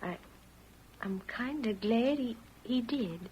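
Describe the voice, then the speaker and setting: A woman playing a gentle, steadfast pioneer wife, speaking softly and earnestly in an old-time radio western. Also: on the high side, expressive, takes it slowly. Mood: gentle, resigned. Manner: soft, earnest, dramatic. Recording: muffled, hissy vintage radio recording.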